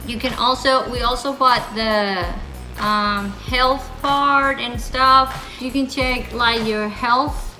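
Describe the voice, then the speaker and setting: Female vlog host, Colombian accent, native Spanish speaker; high-pitched, excitable casual chat over clear background music. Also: slow, rough recording.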